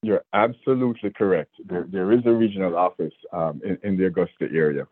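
A man in his sixties with a Jamaican accent, a state division director speaking earnestly and deliberately in a radio interview. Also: low-pitched, no echo. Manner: earnest, measured, with restarts. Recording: dry, phone-like radio line.

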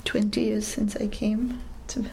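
A woman answering an interview in a measured, thoughtful way, now and then dropping into soft, whispery stretches. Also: mid-range voice, level tone, a little hiss or hum, low-quality recording. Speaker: interviewee, community worker.

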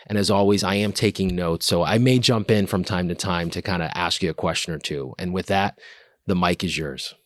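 A man hosting a podcast, welcoming a guest and handing over in a relaxed way. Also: deep voice, dry recording, clean studio sound. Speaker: podcast host.

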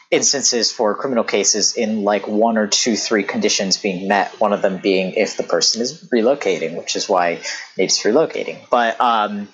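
A woman's voice: extremely low pitch, slightly rough, lo-fi sound. A woman novelist chatting casually and animatedly, laughing often and using lots of fillers. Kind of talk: casual podcast chat.

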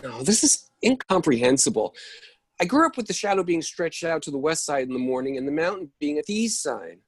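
A woman reading the role of a puzzled adult in a play reading, husky and insistent. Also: deep voice, very animated, fairly quick, exaggerated voice. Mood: bewildered. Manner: insistent, incredulous, repeats herself. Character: puzzled adult person upset by change. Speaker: actor reading second character. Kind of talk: readers theatre play reading.

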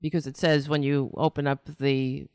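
A woman's voice: a bass voice, flat delivery, normal speed, a little mellow, clean tone, no room sound, clean studio sound. A woman from New York City hosting a political livestream show, talking casually and launching into an exasperated, sarcastic rant. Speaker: host of a political talk show.

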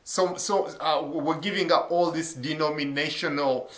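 A man's voice: fairly high pitch, steady tone, normal speed, reverberant, lo-fi sound. A man from Uganda in his thirties, an associate pastor telling his story earnestly, with many ums and uhs. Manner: earnest, warm testimony with frequent fillers. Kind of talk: faith interview.

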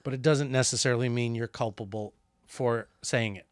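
A man co-hosting a satirical comedy chat, deadpan and mock-serious, often restarting his sentences. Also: mid-range voice, normal speed, no room sound, studio quality. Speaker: podcast co-host.

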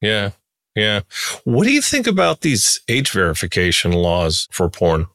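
A man's voice: fairly low pitch, huge pitch swings, a little slow, fairly bright tone, dry recording, studio quality. A man in his sixties hosting a conversational interview podcast, giving his opinions with emphasis. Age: 60s.

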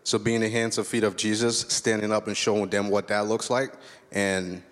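A man from Liberia sharing his testimony on a church stage in a low, grounded voice. He speaks earnestly and candidly, reflecting as he goes. A stage mic carries him into a big hall with a long reverb. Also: level tone, normal speed. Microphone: stage microphone.

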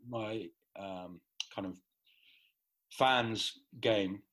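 A man's voice: fairly low pitch, drawn-out words, a bit bright, low-quality recording. A middle-aged man giving an articulate, analytical explanation as an interview guest. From grew up in the Netherlands. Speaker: interview guest, football writer.

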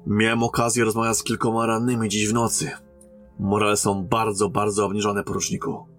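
An actor playing a courteous royal emissary, a captain, in a fantasy audio drama, speaking formally and earnestly. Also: male voice, on the low side, flat delivery, fairly quick, fairly bright tone, faint music behind. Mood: calm, concerned.

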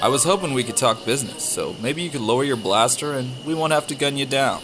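A man's voice: medium pitch, animated, quick pace, a bit bright, soft background music, close to an everyday voice. A man playing a cocky, flirtatious space smuggler in an audio drama, smug and teasing. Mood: glib.

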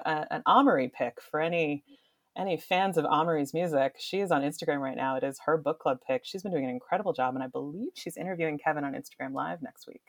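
A woman being interviewed as a podcast guest, talking casually and with enthusiasm, often halting to find her words. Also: deep voice. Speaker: podcast guest, book marketing director.

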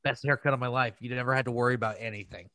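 A man in casual podcast conversation, telling stories in a loose, rambling fashion. His voice sits at a middling pitch and is recorded very dry, with no room around it. He talks informally and swears freely. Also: steady tone, racing, sharp tone, dry recording. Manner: profane, rambling storytelling. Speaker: podcast host.

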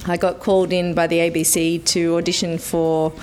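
A woman's voice: lowish voice, level tone, moderate pace, a little mellow, a little hiss or hum, poor audio. A woman in her thirties with a young-sounding voice, chatting animatedly as an interview guest and breaking into playful quoted voices. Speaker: children's entertainer guest. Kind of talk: casual interview chat.

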